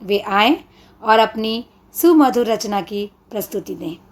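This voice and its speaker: A woman's voice: medium pitch, expressive, a little slow. A woman hosting a poetry event, giving polite, formal introductions of each poet. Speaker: program host. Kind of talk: formal stage announcing.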